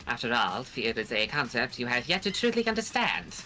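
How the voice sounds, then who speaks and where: A woman's voice: a bass voice, very animated, a little fast, sharp tone, faint music behind, exaggerated voice, poor audio. A woman voicing a cruel, pompous villain creature in a game, mocking and theatrical, drawing out each taunt. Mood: mocking, menacing. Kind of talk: hobby voice acting of a visual novel game.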